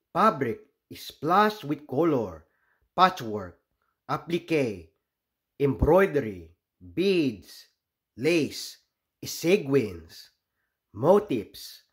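A man from the Philippines reading a children's picture book aloud expressively, pausing deliberately between short phrases. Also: mid-range voice, fairly bright tone. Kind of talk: expressive storybook read-aloud.

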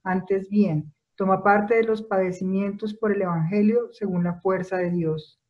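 A woman reading a Bible passage aloud as lector from a room at home, steady and earnest, filmed close on a webcam. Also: mid-range voice, unhurried, highs cut off. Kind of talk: reading scripture.

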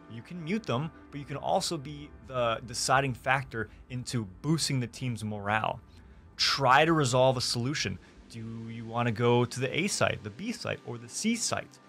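Young man, mid-pitched, gaming creator reading a scripted tutorial. Deliberate, emphatic delivery on a close studio mic over background music. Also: fairly bright tone. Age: young adult. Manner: deliberate, emphatic, listing points. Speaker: gaming YouTuber and streamer. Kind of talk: scripted instructional guide. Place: small home streaming room, indoors. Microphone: close studio mic on desk.

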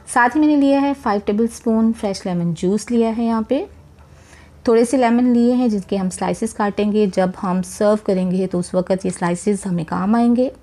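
A woman hosting a cooking channel, talking viewers through a recipe in a chatty voice-over, often adding blessings as she works. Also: a little high. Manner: warm, chatty, repeats religious blessings while cooking; addresses viewers directly, encouraging. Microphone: voice-over, speaker not seen.

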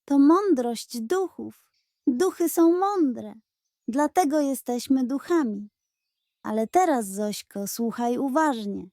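A woman's voice: high-pitched, animated, takes it slowly, warm tone, no room sound, studio quality, heavily acted. Woman voicing a sly, pompous greedy male pug. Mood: solemn. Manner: grandiose, mock-solemn, scheming. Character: sly greedy male pug posing as a solemn chicken ghost. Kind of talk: children's audio fairy tale.

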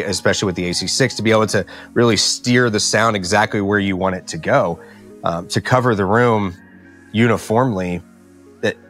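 A man's voice: deep voice, fairly bright tone. A man in his thirties speaking conversationally and emphatically in an interview testimonial, often repeating himself for emphasis. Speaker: church audio team member giving testimonial. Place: small indoor audio control studio, seated. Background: music bed.

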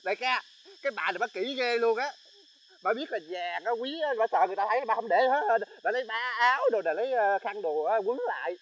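A man's voice: sits high, fast talker, poor audio. A man playing a fawning bandit sidekick who nags and complains at his boss. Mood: grumbling. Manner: flattering, then whiny nagging complaints. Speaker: comedy sketch actor. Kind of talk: comic sketch acting.

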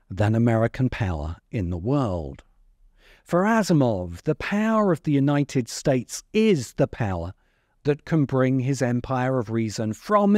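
A middle-aged man delivering scripted commentary as a video essayist, with measured, emphatic phrasing. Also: mid-range voice, dark tone, dry recording, clean studio sound. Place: open-sided covered outdoor space. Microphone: desk microphone close in front. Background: music.

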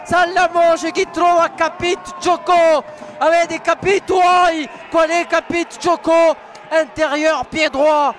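A man commentating live on football for radio, excitable and partisan, repeating his phrases. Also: very high-pitched, takes it slowly. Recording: loud stadium crowd and noise. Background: stadium crowd.

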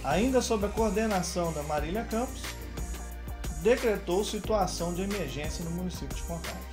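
A man with a fairly high voice talks through a news story for viewers. He walks through the material point by point, with faint music playing under his voice. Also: a little fast. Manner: explains while pointing at web pages on screen. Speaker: news commentator. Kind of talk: screen-recorded news commentary. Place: voice-over over screen recording.

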